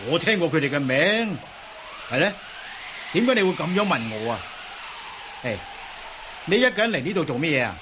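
An earnest young nobleman hero in a Cantonese radio drama. His voice sits fairly high and moves in lively, exclamatory swoops. Faint noise and ambience lie behind it. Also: fairly quick, pauses often. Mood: puzzled. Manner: theatrical, exclamatory, questioning.

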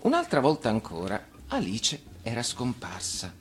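A man reading a children's tale as storyteller, in an expressive scripted narration. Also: mid-range voice, moderate pace.

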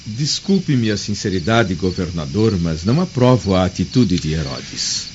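Deep-voiced, deferential adviser. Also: male voice, big pitch swings, normal speed. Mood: disapproving. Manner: formal, deferential. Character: earnest, respectful adviser to a Roman governor. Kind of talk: radio drama acting. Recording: muffled radio drama, clear insect noise.

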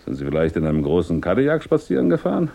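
Deep-voiced, gruff police inspector. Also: male voice, wildly swooping pitch, very fast, warm tone, heavily acted. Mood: suspicious. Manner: terse, clipped, insinuating questioning. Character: gruff, suspicious male homicide police inspector. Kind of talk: German radio crime drama acting.

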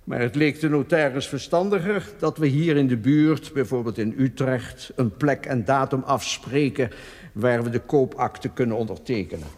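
An actor playing a deferential, courteous clerk of a notary in a historical radio drama, formal and obliging. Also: male voice, medium pitch, normal speed, a little mellow, big-room sound, close to an everyday voice. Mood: polite, formal. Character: deferential, polite notary's clerk showing a house.

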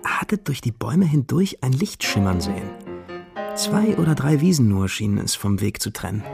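A man's voice: mid-range voice, very animated, normal speed, vocal fry. An actor playing a young male wanderer who recounts his journey reflectively in a first-person radio drama. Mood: observant, uneasy. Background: music.

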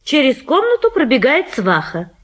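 A woman narrates stage directions in a scripted style. Her voice is high and lively, moving freely up and down. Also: moderate pace.

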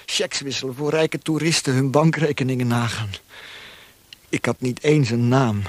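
An actor playing a lonely, anguished former bank clerk confessing to his mother in a radio drama, husky, halting and intimate. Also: fairly high pitch, no echo, close to an everyday voice. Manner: halting, emotional, intimate delivery.

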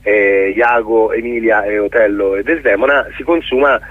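A middle-aged man from the Middle East, a theatre director being interviewed, explaining his work haltingly and searching for words. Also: fairly low pitch, no echo. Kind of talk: radio interview, explaining a production. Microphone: telephone call. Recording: thin, phone-like line.

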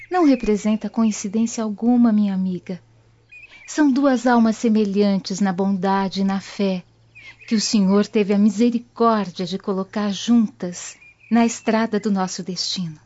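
An actress playing a devout, gentle young noblewoman of Rome, earnest and reverent, in a radio drama. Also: mid-range voice, moderate pace, close to an everyday voice. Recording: muffled lo-fi audio with music behind.

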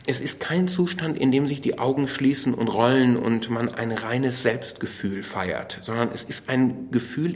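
Creaky-voiced man, eloquent and intellectual. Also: mid-range voice. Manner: eloquent, intellectual, emphatic phrasing. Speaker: interviewee, commentator on literature. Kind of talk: spontaneous analytical commentary on a novel.

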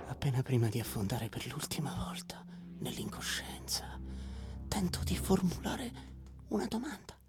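An actress playing a dreamlike, awestruck narrator in a breathy, hushed whisper, as in radio theatre. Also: female voice, very deep voice, very animated, moderate pace. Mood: hushed, awed. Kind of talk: dramatic literary reading, radio theatre.

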